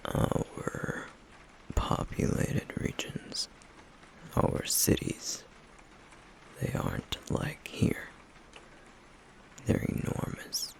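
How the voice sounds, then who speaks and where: A low, gravelly male flame demon, acted by a man for an ASMR roleplay. He is stoic and guarded, speaking in a breathy near-whisper. The delivery is extremely slow, with long reserved pauses. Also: a little hiss or hum, heavily acted, low-quality recording.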